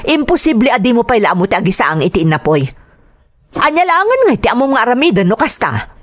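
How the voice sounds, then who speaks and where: A woman's voice: a little high, very animated, brisk. A woman playing a bossy, bad-tempered stepmother who scolds sharply in an Ilocano audio drama. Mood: impatient scolding.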